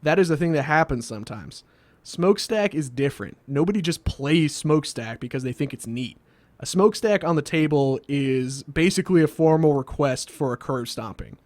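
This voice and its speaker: A man's voice: a little high, expressive, moderate pace, darkish tone, dry recording. A man hosting a scripted comedic explainer with a wry, sardonic delivery, recorded as a close voice-over. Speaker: host of a card game video series.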